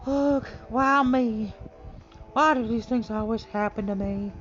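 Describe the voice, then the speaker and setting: A man voicing a brash, sharp-tongued young woman adventurer who talks in an indignant, mouthy way. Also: very high voice, slow pace, full character voice. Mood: exasperated. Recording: muffled, faint music behind.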